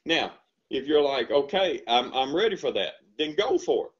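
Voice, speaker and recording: Earnest older farmer on a headset. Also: male voice, medium pitch, normal speed. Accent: Southern US. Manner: animated storytelling, earnest and folksy. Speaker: dairy farmer and ice cream shop owner. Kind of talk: casual interview over video call. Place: home room with bookshelves. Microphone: headset on video call. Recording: slightly dull lo-fi call audio.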